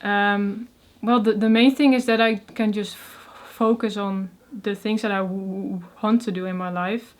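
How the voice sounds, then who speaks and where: A young woman with a Dutch accent speaks as an interview guest, stuttering and breaking off on first sounds. She is soft-spoken and uses many fillers. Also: mid-range voice, steady tone, measured pace, few pauses. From Netherlands. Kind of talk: casual interview conversation.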